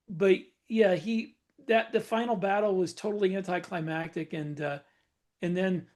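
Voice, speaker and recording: High-voiced opinionated man on a podcast. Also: darkish tone. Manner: opinionated, rambling, searches for words. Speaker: podcast co-host. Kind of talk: casual podcast discussion.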